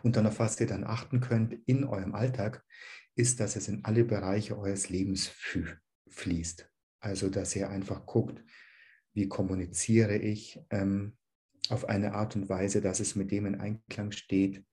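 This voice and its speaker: A man teaching dharma to an online group, calm and searching, with a slight cold. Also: on the low side, unhurried. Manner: calm, searching, with many "ähm" restarts.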